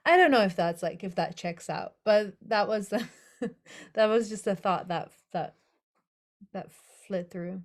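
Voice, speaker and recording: A young adult woman speaks in a relaxed interview, feeling her way through her answers. She hesitates often and restarts her sentences, sounding reflective rather than polished. Her voice sits at an everyday middle pitch. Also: takes it slowly. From Netherlands. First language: English as first language, also Hebrew and Dutch. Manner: thoughtful, hesitant, many fillers and restarts. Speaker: author. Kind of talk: casual interview conversation.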